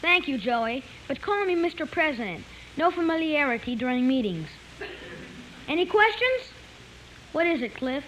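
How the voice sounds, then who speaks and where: A woman's voice: sits high, big pitch swings, moderate pace, a bit bright. A woman playing a bossy, self-important young boy who runs a club, in 1950s radio comedy style. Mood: officious, pompous. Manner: officious, pompous. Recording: noisy clicking old 1950s radio recording.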